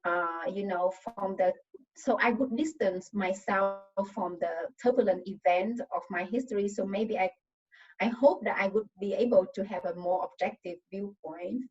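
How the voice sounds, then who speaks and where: A woman speaking English as a second language with a Vietnamese accent, an earnest panel guest who pauses to find her words on a video call. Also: medium pitch, a little mellow, husky. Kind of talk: casual panel talk. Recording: distant, muffled video call audio.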